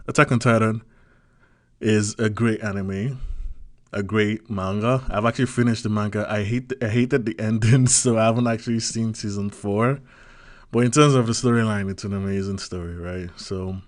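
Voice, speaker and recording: Nigerian man, casual vlogger, low-pitched, dry read, rambling chatty delivery. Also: no room sound. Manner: chatty, loose, peppers talk with "like" and "right?". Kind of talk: casual solo commentary.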